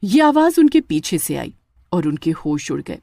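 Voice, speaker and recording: Expressive woman narrating a horror tale. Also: low-pitched, normal speed, vocal fry, no echo, clean studio sound. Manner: dramatic, with stammering in character lines. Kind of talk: scripted horror story narration.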